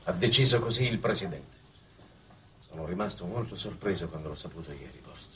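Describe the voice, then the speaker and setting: An actor playing an apologetic, uneasy envoy from Earth in a 1960s television drama, speaking formally and earnestly. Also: male voice, deep voice, animated, fairly quick, bright, forceful tone. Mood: apologetic, grim. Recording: slightly distant, noisy old TV soundtrack.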